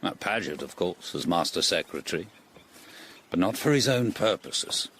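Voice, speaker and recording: Senior Tudor courtier: older, deep, English, aristocratic, authoritative, shrewd. Period radio play, slight background noise. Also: male voice, big pitch swings, moderate pace, a bit bright, full character voice. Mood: shrewd, weighing. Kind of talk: radio drama acting.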